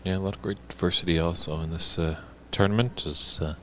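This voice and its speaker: A man's voice: sits low, steady tone, quick pace, a bit bright, slight background noise. A man commentating a snooker tournament in a chatty, informative way.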